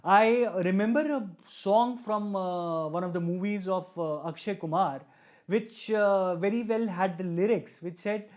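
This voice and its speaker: A man's voice: high voice, unhurried, a little mellow. A man hosting a webinar and introducing a guest in an earnest, slightly repetitive way with frequent fillers. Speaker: webinar host.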